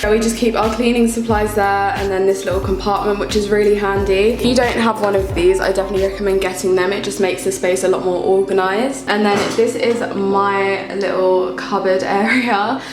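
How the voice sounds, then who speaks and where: Young british girl vlogging a flat tour, chatty and casual, echoey with music behind. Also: mid-range voice, a little fast, hardly any pauses. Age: young adult. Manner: chatty, informal, upbeat. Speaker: vlogger, university student. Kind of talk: casual room tour vlog. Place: indoors, small student flat. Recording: echoey room with music underneath.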